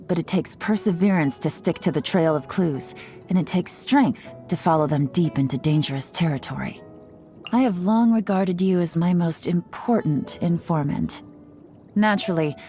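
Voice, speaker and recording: Casting: actress to play young female game intelligence agent, lowish voice, cool, knowing and playful, music underneath. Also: dark tone, lightly acted. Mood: determined, cool. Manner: composed, teasing, unhurried, knowing. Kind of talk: video game character voice lines. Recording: studio voice over background music.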